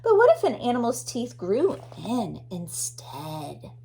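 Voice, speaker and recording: A woman's voice: fairly high pitch, wildly swooping pitch, slow, gravelly, dark tone, a little hiss or hum, rough recording. A woman teacher leading a read-aloud lesson for young children, explaining things and repeating key words for emphasis. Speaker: elementary teacher reading aloud.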